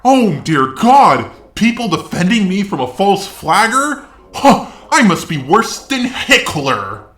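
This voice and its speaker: A man's voice: sits high, sing-song, slow, a bit bright, reverberant. A man doing combative, profane online commentary with a sarcastic, exasperated way of talking. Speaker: YouTube commentator.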